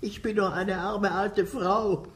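A woman's voice: mid-range voice, steady tone, takes it slowly, echoey room. An actress playing a frail, cunning old lady in a radio play, commanding and pleading by turns. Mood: sly, plaintive. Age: older. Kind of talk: German radio play acting.

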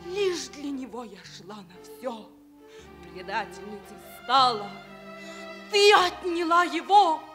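An actress playing a proud, jealous, despairing enslaved princess in declamatory radio theatre. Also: female voice, sits high, bright, forceful tone, heavily acted. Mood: pleading, wounded. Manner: declamatory, impassioned, drawn-out delivery. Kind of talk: theatrical radio stage acting.

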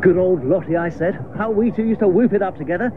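An actor playing a breezy, chatty young upper-class English idler in a radio comedy, full of old-fashioned slangy exclamations. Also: male voice, high voice, fast talker, natural voice. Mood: flustered, confiding. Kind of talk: radio comedy drama acting. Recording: muffled, noisy radio play recording.

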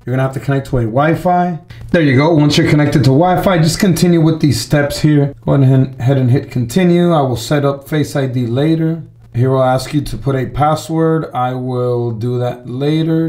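A man hosting a tech tutorial, explaining the steps in a relaxed, repetitive way, recorded at a desk indoors. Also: fairly high pitch. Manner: relaxed, repetitive walkthrough with "gonna" and "all right". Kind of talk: casual step-by-step tutorial.

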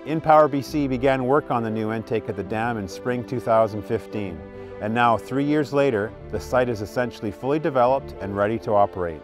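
A man's voice: medium pitch, fairly quick. A middle-aged man, delivering scripted narration as a utility spokesman giving a project update. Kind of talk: scripted narration and on-site presenting. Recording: music behind the voice.

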